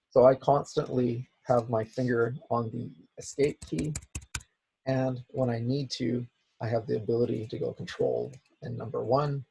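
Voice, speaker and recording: A man with a raspy, hoarse voice, as he is losing it, teaching a software class with many "okay"s. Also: mid-range voice, slight background noise, rough recording. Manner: repeats "okay" as a filler. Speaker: instructor. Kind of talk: software tutorial lecture.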